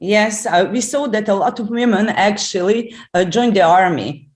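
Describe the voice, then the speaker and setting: A woman's voice: medium pitch, moderate pace, darkish tone. A woman from Bosnia and Herzegovina co-hosting a podcast, asking questions in a hesitant, rambling way. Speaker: podcast co-host. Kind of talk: interviewing.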